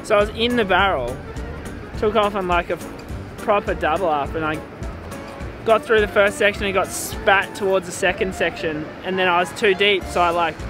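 Laid-back young surfer, high-pitched. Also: male voice, normal speed, over music. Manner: laid-back, rueful and joking. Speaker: surf vlogger. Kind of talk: casual vlog chat.

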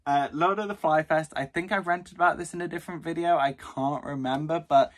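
A young man chatting casually to camera, rambling with exaggerated rants while his voice is going hoarse. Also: a little high, fairly even intonation, brisk. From Britain. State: losing his voice. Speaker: book vlogger.

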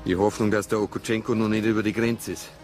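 An actor playing a dry, persistent police detective with a Bavarian accent and a colloquial, matter-of-fact manner. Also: male voice, on the low side, little pitch movement, quick pace, faint music behind, close to an everyday voice. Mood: reasoning.

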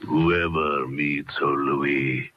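An actor playing a crazed, eerily courteous old French hermit with a raspy voice, in old-time radio horror style. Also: male voice, rumbling low, fairly even intonation, very slow, heavily acted. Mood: eerily calm. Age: older. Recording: dull, lo-fi old radio recording.

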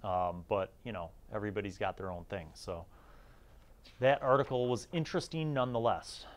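A man's voice: fairly low pitch, normal speed. A man giving a casual, rambling review as a channel host, informal and enthusiastic, thinking aloud.

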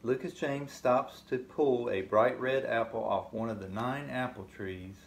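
Slow, roomy-sounding grandfather reading. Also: mid-range voice, creaky. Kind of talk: reading a picture book to a grandchild. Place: living room couch, indoors. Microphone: phone or webcam in front of the couch. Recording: roomy echo, faint hiss.

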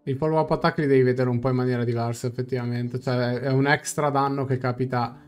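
A man's voice: medium pitch, little pitch movement, quick pace, darkish tone, smooth. Young Italian man streaming, music behind. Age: young adult. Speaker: game streamer. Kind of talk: casual live gameplay commentary and translation. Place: small room at home. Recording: game music faintly behind.

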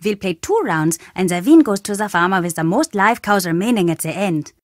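A woman's voice: mid-range voice, expressive, fairly quick, no room sound, studio quality, lightly acted. A woman voicing a mischievous, playful young boy in a video game, with a cheeky, childlike way of talking. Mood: explaining rules. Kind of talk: video game character acting.

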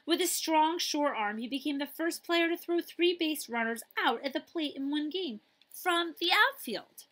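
A middle-aged woman reading a children's picture book aloud, with dramatic emphasis and pauses. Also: sits high, expressive, a bit bright.

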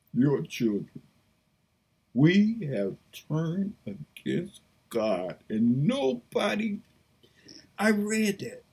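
A woman's voice: sits low, mellow, husky. A woman preaching and praying aloud, reading scripture in a fervent, pleading manner. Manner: fervent, pleading, slow and drawn out. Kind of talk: impassioned prayer and scripture reading.

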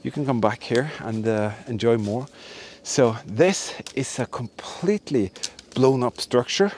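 A man's voice: mid-range voice, unhurried, a little mellow, a little hiss or hum. Enthusiastic man exploring forest ruins. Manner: enthusiastic, emphatic, repeats words. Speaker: host of history exploring channel. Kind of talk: walkabout narration to camera. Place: outdoors in a forest and ruined buildings.

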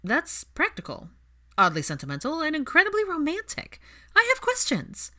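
A woman narrator plays a brash young best friend, nosy and gleefully teasing. The voice jumps high and loud, in audiobook storytelling. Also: wildly swooping pitch, normal speed, heavily acted.

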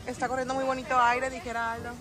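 A woman's voice: high voice, completely flat delivery, fast talker. A young woman, chatting to viewers about food at an outdoor restaurant, using lots of diminutives and talking into a phone held at arm's length. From Mexico. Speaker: food vlogger. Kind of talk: casual food review. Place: outdoor rustic restaurant under a thatched roof. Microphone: phone camera at arm's length. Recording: loud outdoor noise from nearby traffic.

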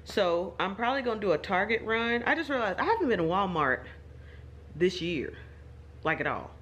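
A woman's voice: mid-range voice, sing-song, normal speed, fairly bright tone, lo-fi sound. Lady rambling at her phone cam, jokey asides, bit of car noise behind. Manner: chatty, rambling, self-deprecating asides. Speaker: vlogger. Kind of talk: casual vlog talking to camera. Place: home, then in her car. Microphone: phone or camera, self-filmed.

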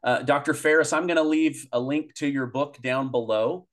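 A man's voice: mid-range voice, steady tone, normal speed. A man in his thirties hosting an interview over a video call, talking appreciatively with some hesitant restarts. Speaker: interview host. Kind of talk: casual interview wrap-up. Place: home office, indoors.

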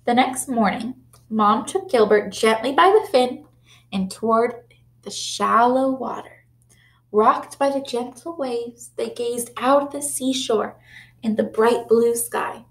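A young woman teacher reads a picture book aloud for small children, animated and sympathetic. Her voice is fairly high and she takes her time. The webcam picks up a roomy classroom echo. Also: slow pace. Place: classroom, indoors.

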